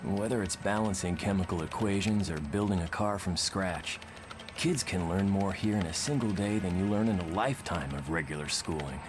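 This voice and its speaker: A man's voice: low voice, moderate pace, over music, lightly acted. A man playing an earnest, lovestruck intellectual mutant in a motion comic, articulate and confiding. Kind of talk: motion comic letter narration.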